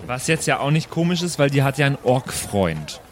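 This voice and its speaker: A man's voice: medium pitch, very animated, fairly quick. A man improvising casually as a player in a tabletop role-playing game. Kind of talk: casual improvised in-character play. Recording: clear background noise.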